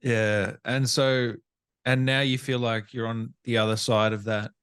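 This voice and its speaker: A man's voice: medium pitch, little pitch movement, a little slow, a bit bright, smooth. A man hosting an interview in a casual, conversational style. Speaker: interviewer, host.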